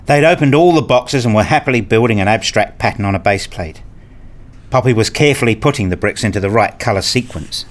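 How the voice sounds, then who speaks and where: A man's voice: fairly low pitch, fairly quick. A man narrating a scripted radio play in the first person.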